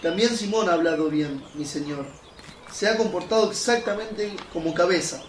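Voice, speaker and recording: A man acting as a deferential, admiring disciple in a radio drama. He has a high voice with noticeable echo and faint birdsong behind. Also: normal speed, close to an everyday voice. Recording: echoey sound, faint noise.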